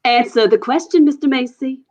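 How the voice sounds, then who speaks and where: A woman's voice: sits high, fairly quick, a little mellow, muffled recording, lightly acted. A woman playing an authoritative but easily flustered judge in a 1940s-style radio play.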